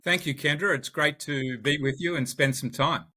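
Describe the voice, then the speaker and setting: A man's voice: on the high side, normal speed, bright, forceful tone. An Australian man, a podcast guest, talking in a conversational interview and often repeating words as he searches for his phrasing.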